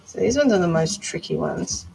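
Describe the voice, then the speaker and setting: A woman with a well-spoken Australian accent from Melbourne, chatting calmly on a live stream as she sculpts a doll and narrates each step. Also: fairly low pitch, moderate pace, vocal fry, a little hiss or hum, poor audio. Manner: talks calmly while working, with quiet asides about each step. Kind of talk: casual live-stream chat while sculpting.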